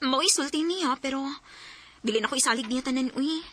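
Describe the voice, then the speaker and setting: An actress playing an earnest young woman student in a Cebuano Filipino radio drama, with a husky voice. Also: high voice, brisk, fairly bright tone, no echo. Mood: thoughtful. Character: Rain, hurt young woman, nursing student telling her story.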